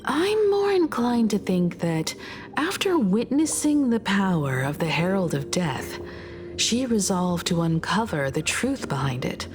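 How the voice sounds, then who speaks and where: A woman playing a poised, gentle, scholarly young woman in a video game, speaking in a measured, articulate, reflective way. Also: medium pitch, very animated. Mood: thoughtful, analytical. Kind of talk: video game story dialogue.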